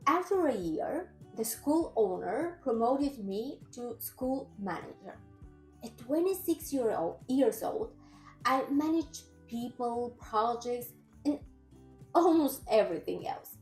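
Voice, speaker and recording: A woman's voice: on the high side, a little mellow. A woman in her thirties from Bulgaria with a Bulgarian accent, a personal trainer and nutritionist telling her own story in an earnest, careful way. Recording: roomy echo with faint music.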